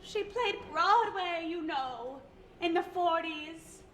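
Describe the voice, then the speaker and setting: Grand old deaf grandma, sharp tongue, really high voice, echoey room, stage comedy. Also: female voice, animated, slow, full character voice. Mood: disdainful. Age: older. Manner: grand, theatrical, bluntly disdainful. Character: hard-of-hearing theatrical grandmother, sharp-tongued and doting. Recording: echoey room, faint noise.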